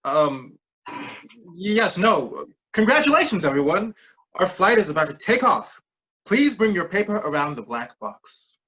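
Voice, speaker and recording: A man's voice: high-pitched, big pitch swings, unhurried, sharp tone, exaggerated voice, poor audio. A man playing a pompous pilot making showy announcements in a staged play reading. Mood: grandly announcing. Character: pompous pilot announcing a make-believe flight.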